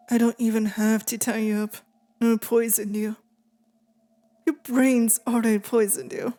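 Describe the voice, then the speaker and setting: A woman's voice: mid-range voice, dark tone, lightly acted. A woman playing a possessive, taunting female demon in an intimate ASMR roleplay, speaking to the listener in a teasing, menacing way.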